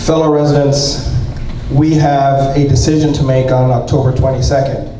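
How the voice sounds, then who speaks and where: A man's voice: mid-range voice, flat delivery, normal speed, dark tone, slight background noise. A man running for council, giving a formal closing statement. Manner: formal address. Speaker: election candidate. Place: public debate hall. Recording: very echoey hall, distant.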